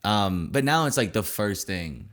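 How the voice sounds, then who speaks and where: Low-voiced man with dry teasing jabs. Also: sing-song, measured pace, a bit bright. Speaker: podcast co-host. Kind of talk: casual chat.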